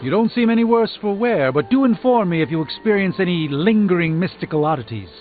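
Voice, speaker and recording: An actor playing a pompous, learned sorcerer in a video game, speaking in a grandiose, lecturing way. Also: male voice, high-pitched, expressive, a little fast. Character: pompous, theatrical, learned male sorcerer and mystic. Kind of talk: theatrical video game dialogue. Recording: thin phone-like band, music behind.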